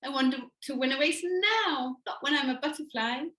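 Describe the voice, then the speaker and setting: A whiny, impatient little caterpillar boy with a high, bright voice, as a woman reads him. He pleads and complains like a small child. The sound carries a roomy echo. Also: moderate pace. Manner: whining, pleading.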